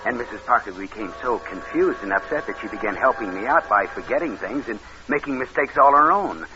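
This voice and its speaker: A man's voice: medium pitch, animated, a little fast, natural voice. A dull vintage radio recording with music behind it. A man plays a scheming young drifter, wry and slangy, telling his story like a hard-boiled crook in an old suspense drama. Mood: sly, wry. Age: 20s. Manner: wisecracking, slangy. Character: scheming cynical young drifter narrating his own crime. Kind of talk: 1940s radio acting, hard-boiled narration.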